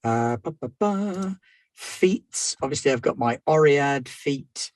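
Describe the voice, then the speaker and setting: A man's voice: mid-range voice, lively intonation, slow, dry recording. A man playing a tabletop game, thinking aloud while he walks through his character. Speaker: player. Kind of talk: casual chat about game rules.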